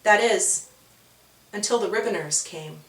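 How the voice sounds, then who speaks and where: A woman narrating a scripted children's story in a measured, dramatic storytelling manner. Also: mid-range voice, fairly bright tone. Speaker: author and narrator. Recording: roomy, distant from the mic.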